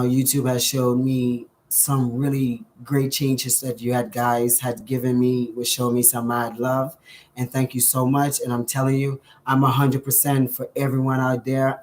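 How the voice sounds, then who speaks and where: A Bahamian man vlogging casually to his viewers, effusive, full of thanks and shout-outs, with frequent ums. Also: medium pitch, slight background noise. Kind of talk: casual direct-to-camera vlog.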